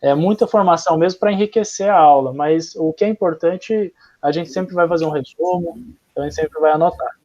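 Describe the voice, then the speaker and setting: A man's voice: on the high side, a little fast, darkish tone. A young adult man from Brazil with a Brazilian Portuguese accent, giving a casual classroom history lecture full of fillers and restarts. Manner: chatty, informal, frequent fillers and restarts. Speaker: history teacher. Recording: dull, lo-fi.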